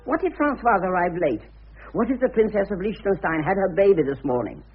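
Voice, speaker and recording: Impatient excitable bloke, high voice all over the place, snappy, tinny old radio play. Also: male voice, a little fast, breathy edge, faint music behind. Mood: agitated. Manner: exasperated, brusque, interrupting. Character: impatient, excitable, sarcastic male showbiz schemer. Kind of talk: comic radio drama acting. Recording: tinny, narrow-band radio recording.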